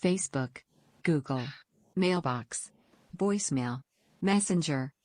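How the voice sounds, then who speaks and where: Slow robotic phone screen reader, voiced by a woman. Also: low voice, animated, darkish tone. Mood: impersonal. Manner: clipped, impersonal announcements.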